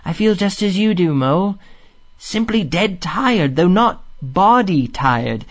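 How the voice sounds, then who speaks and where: A man's voice: sits high, animated, takes it slowly, pitched above the performer's own voice, full character voice. A man voicing a languid, poetic water rat from a storybook, wistful and rapt as he murmurs. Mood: languid, contented.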